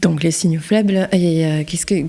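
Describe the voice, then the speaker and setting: A woman's voice: on the low side, fairly even intonation, normal speed, dark tone. A woman hosting a podcast interview, asking curious, informal questions. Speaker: podcast host.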